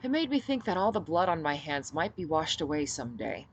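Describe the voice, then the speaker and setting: A woman reading her own novel aloud as expressive first-person audiobook narration. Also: low-pitched, a little fast, slight background noise.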